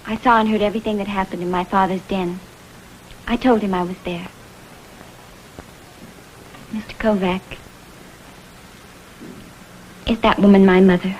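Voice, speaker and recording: A woman's voice: medium pitch, fairly even intonation, normal speed, pauses often, darkish tone, natural voice, poor audio. Actress as anxious young woman, faint background noise. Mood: anxious, direct. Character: anxious, direct young daughter seeking the truth. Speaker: actress in a crime drama. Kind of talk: vintage American TV crime drama dialogue.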